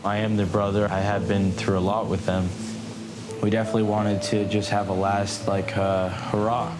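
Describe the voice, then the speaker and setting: Teenage cadet leader, relaxed interview talk, low voice, music underneath. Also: male voice, normal speed, poor audio. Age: teens. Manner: casual, loose with fillers. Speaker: military academy cadet leader. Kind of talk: documentary interview.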